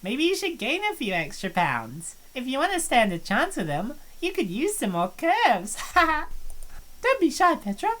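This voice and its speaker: Woman as a cocky, teasing student in a visual novel, bright and lively. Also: high voice, a little slow, exaggerated voice. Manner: playful, teasing, self-assured. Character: teasing, confident plus-size college girl.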